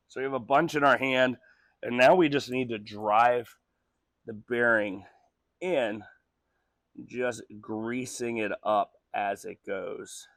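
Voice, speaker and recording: Garage guy going hoarse, chatty with lots of ums, dry close sound. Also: on the low side, fairly bright tone, no room sound. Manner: chatty, with ums and small laughs. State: losing his voice from postnasal drip. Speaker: host of a truck restoration channel. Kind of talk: casual how-to workshop vlog.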